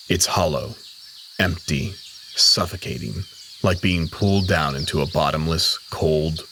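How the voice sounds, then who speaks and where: A man with a deep, low voice narrates a dramatic story. His delivery is solemn and measured, and faint insect-like night ambience sits behind him. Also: a bit bright, slight background noise.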